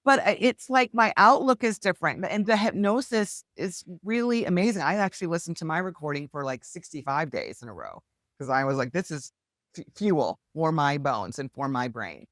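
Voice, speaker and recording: A woman speaking as a podcast guest, telling her story in an earnest, heartfelt and animated way. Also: low-pitched, normal speed, no echo, clean studio sound. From United States. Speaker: podcast guest, hypnosis healer. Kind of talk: candid conversational storytelling.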